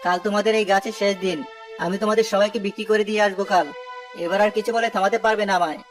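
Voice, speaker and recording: A woman's voice: medium pitch, fairly even intonation, racing, natural voice. A woman playing a greedy, impatient man who catches birds, blunt and threatening, in a children's cartoon. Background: music.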